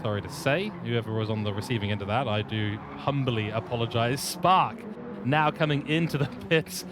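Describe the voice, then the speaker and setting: Male lead race commentator, lively and playful, mid-pitched, noisy background. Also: moderate pace. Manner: lively, self-deprecating, playful asides. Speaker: sim racing lead commentator. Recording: steady background noise.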